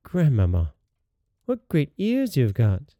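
A man's voice: mid-range voice, wildly swooping pitch, takes it slowly, dark tone, dry recording, clean studio sound, pitched above the performer's own voice. A man voicing an innocent, trusting little country girl in a storybook, artless and full of wonder. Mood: amazed.

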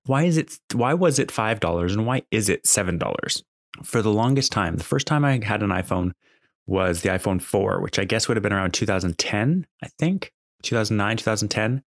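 A man's voice: fairly low pitch, lively intonation, a little fast, no room sound, studio quality. A man talking casually off the cuff as a podcast host, in a conversational, exasperated, complaining way. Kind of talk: casual unscripted monologue.

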